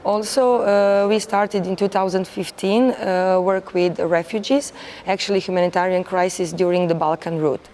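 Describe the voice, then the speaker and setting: A woman's voice: medium pitch, steady tone, moderate pace, a little mellow, slight background noise. A woman from Croatia, a volunteer, speaking in a documentary interview with some fillers.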